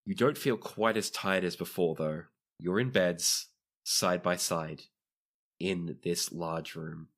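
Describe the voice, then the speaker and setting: Low-voiced man narrating as game master. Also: steady tone, slow pace, a bit bright. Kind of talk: narrating a tabletop roleplaying game.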